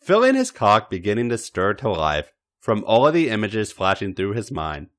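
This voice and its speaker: A man's voice: fairly low pitch, huge pitch swings, normal speed, a bit bright. A man narrating a story as a podcast reader, with a dramatic, emphatic delivery. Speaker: podcast host reading fan fiction. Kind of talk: expressive narrated story reading.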